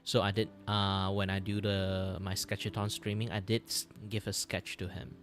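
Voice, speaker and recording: A man who speaks English as a second language, chatting casually on a livestream in a halting, repetitive way. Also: low voice, soft background music. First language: not English. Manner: halting, repetitive, animated reactions. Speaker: streamer and animator.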